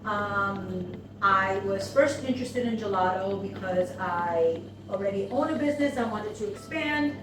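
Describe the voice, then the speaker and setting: A woman's voice: medium pitch, background noise. Woman in an echoey room. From United States. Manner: thoughtful, frequent ums and pauses. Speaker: seminar attendee, business owner. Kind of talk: testimonial interview. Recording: echoey room, mic far off, music behind.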